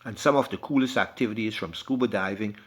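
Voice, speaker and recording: A man with a fairly low voice reads a first-person essay aloud. His delivery is scripted and measured, with emphasis placed on short phrases. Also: a bit bright.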